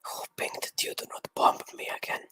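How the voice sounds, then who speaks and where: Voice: fairly quick, pushed and bright. A guest from Serbia speaking on a stream in short, terse whispers.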